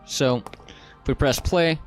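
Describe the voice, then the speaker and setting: A man narrating a software tutorial in a casual, informal, step-by-step way. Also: a little high, slow, music in the background. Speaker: tutorial host.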